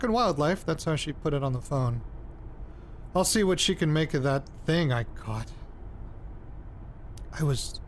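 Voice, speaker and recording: A slightly high-voiced young man records himself as an amateur investigator in an audio drama. He is anxious and insistent, stumbling over his words and then pushing bluntly. Faint noise sits behind him, like a field recording. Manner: halting, blunt, pressing, sweary. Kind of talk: audio drama, naturalistic hesitant recorded diary and interview.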